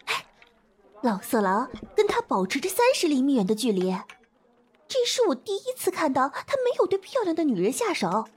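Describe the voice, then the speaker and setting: A woman playing an excitable office gossip in a modern audio drama, mocking and conspiratorial. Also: sits high, moderate pace. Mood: gleeful gossip.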